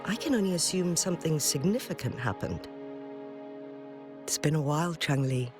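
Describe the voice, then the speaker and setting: A man voice-acting a courteous, unhurried recluse in a video game, with a formal and calm manner. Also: on the high side, close to an everyday voice. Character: courteous, unhurried male host living in seclusion. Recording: music behind the voice. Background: game music.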